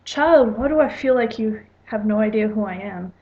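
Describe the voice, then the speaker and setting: A woman's voice: medium pitch, moderate pace, dull audio, lightly acted. A woman voicing a genial, grand old male warrior general in a storybook reading, with an amateur British accent. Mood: genial, probing. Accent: amateur put-on British.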